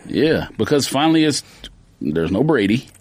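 A man's voice: mid-range voice, very animated, moderate pace, breathy edge. An American man co-hosting a casual sports podcast, talking in slang and reasoning his way through counterpoints. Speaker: podcast co-host. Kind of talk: casual sports debate and chat.

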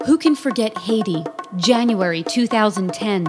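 A woman's voice: medium pitch, expressive, normal speed. A woman reading a scripted charity promo as its announcer. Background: loud music.

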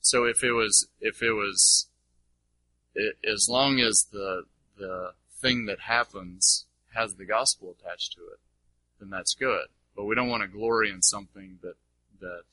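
A man teaching in an informal, halting way as he answers questions and clarifies his points. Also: fairly low pitch, sharp tone, no echo, poor audio.

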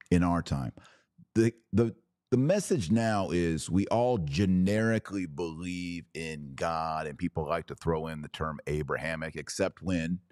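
A man's voice: deep voice, darkish tone, no echo, studio quality. A man hosting a religious podcast, giving emphatic, dogmatic commentary in short punchy phrases with rhetorical questions. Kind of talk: opinionated religious commentary, talking to listeners.

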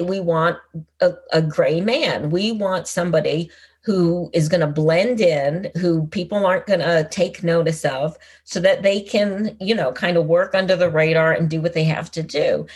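A woman's voice: lowish voice, husky. A middle-aged woman, an author and former intelligence officer, talking casually in an interview with frequent restarts. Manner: conversational, frequent restarts, laughs easily.

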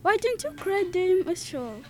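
Teen girl as high-voiced, idealistic young lady. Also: big pitch swings. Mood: pleading, questioning. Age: teenager. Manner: stumbling, deliberate reading. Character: idealistic young lady pleading for robots' welfare. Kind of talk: amateur radio play acting.

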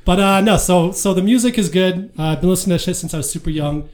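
A man's voice: high-pitched, quick pace. A man, a band founder, chatting laid-back in a podcast interview, laughing and swearing freely.

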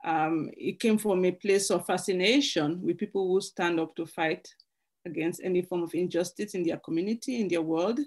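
Woman novelist from Cameroon, reflective, halting restarts, video call. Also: lowish voice, a little mellow. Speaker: author. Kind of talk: author interview.

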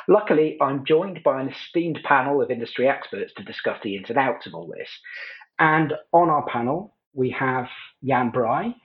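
A man moderating an online panel webinar, giving a measured, polished introduction. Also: medium pitch, lo-fi sound.

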